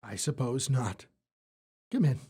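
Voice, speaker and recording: A man's voice: medium pitch, expressive, slow pace, heavy background noise. A man playing an easily shocked, earnest university professor in an audio drama, speaking dramatically. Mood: dejected.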